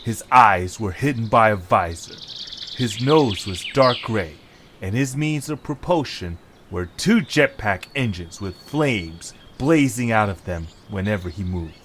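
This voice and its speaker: Lively male story narrator. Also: fairly low pitch, unhurried. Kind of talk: dramatic scripted story narration. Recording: faint background noise with birdsong.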